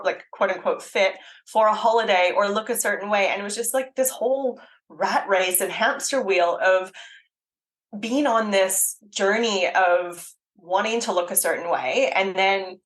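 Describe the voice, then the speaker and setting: A woman in her thirties speaks with a Canadian accent that has picked up an Australian edge. She talks loosely and openly, full of fillers and quick laughs. Her pitch sits in an ordinary middle range. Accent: Canadian with some Australian. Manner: chatty, frequent ums and likes, laughs easily. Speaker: guest, fitness coach. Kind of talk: casual conversational interview.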